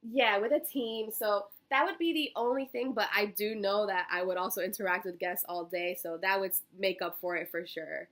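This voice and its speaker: Young American woman, early twenties, bright forward voice, effusive and chatty, as an eager interview candidate. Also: medium pitch, fairly even intonation, normal speed, hardly any pauses. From Miami, Florida, United States. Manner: bubbly, effusive, giggly, chatty. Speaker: job interview candidate, college student. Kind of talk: casual phone interview answers.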